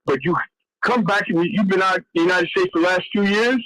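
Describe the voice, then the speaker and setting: A man's voice: sits high, brisk, fairly bright tone, low-quality recording. Rambling, profane man in his sixties. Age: 60s. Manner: rambling, profane, stumbles over names. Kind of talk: casual chat.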